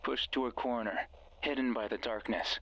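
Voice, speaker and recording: A man's voice: medium pitch, steady tone, normal speed, bright, forceful tone, low-quality recording. An actor playing a manipulative, obsessive man's voice from a radio in a horror game, speaking with intimate, insistent, theatrical intensity. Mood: ominous narration. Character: manipulative, pleading male voice from a radio, obsessive and sinister. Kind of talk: dramatic horror game voice acting.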